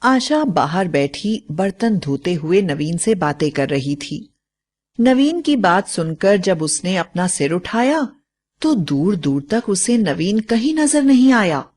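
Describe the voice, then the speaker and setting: A woman's voice: lowish voice, very animated, takes it slowly, a little mellow. A woman narrating a scripted story as a storyteller.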